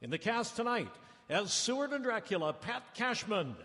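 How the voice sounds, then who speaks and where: Male old-time radio announcer, formal and projected, high register, reverberant live hall with audience noise. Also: very animated, normal speed. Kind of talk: 1930s-style radio announcing. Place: large town hall stage. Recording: noisy live hall, crowd, long reverb.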